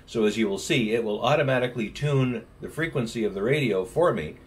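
A man's voice: medium pitch, a little fast. A man, giving casual explanatory commentary and radio call-outs in a home office. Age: 60s. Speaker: amateur radio operator, vlogger. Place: small home office, indoors. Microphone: camera a short distance away. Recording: noisy room, mic some distance away.

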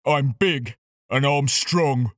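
A big, strong male elephant from a children's storybook, played by a narrator, brave and understated. He speaks a touch lower, with a slow, careful pace. The sound is close and dry. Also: no echo, lightly acted.

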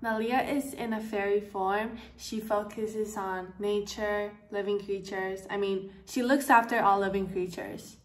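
A woman's voice: mid-range voice, steady tone, a little slow, hardly any pauses, far from the mic. Teenage girl from Taiwan in an echoey room. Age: teens. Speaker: student presenter. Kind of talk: school project presentation.

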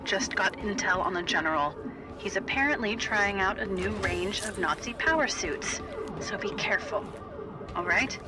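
An actress voicing a steady female resistance ally who briefs in a casual, matter-of-fact way in a video game. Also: medium pitch, normal speed, heavy background noise, lightly acted. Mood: calm caution. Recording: distant voice under game music.

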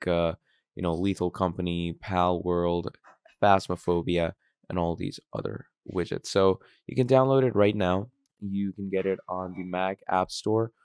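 A man hosting a casual how-to software tutorial, explaining step by step in an instructional way. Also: lowish voice, clear, resonant tone, no room sound, studio quality. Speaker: tutorial host. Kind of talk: casual screen-recorded software tutorial narration.

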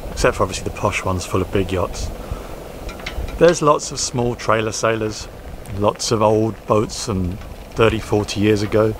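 A man in his forties talking casually to camera outdoors at a boatyard, relaxed and reflective, with frequent fillers and restarts. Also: medium pitch, creaky, background noise. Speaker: sailing vlogger. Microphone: camera-mounted mic, handheld selfie framing.

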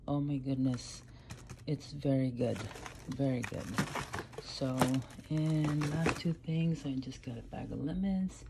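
A woman's voice: extremely low pitch, heavy background noise. A middle-aged woman vlogging casually from a parked car, chatting with many fillers about what she bought. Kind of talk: casual haul vlog. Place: parked car, then at home. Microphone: phone camera on the dashboard.

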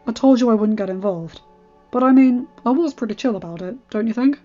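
A woman's voice: on the high side, fairly quick, dark tone, dull audio, lightly acted. Woman doing a cool chill superhero guy in a story, casual n reassuring, music in the back. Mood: amused. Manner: laid-back, offhand, confident. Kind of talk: fan fiction audiobook character voice. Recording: band-limited audio with background music.

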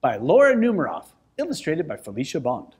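A man's voice: fairly high pitch, wildly swooping pitch, a little fast, a little mellow, husky. An American man in his thirties co-hosting a children's storybook show, mixing read-aloud with mock-serious comic banter. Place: small bright indoor studio set.

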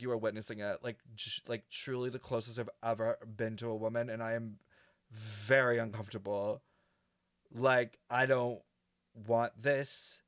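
A young man streaming his reactions, close on the mic with a dry sound. His voice is mid-pitched and his delivery is campy and theatrical, full of deadpan exaggeration. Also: no echo. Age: young adult. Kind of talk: casual reaction commentary. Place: small indoor streaming room.

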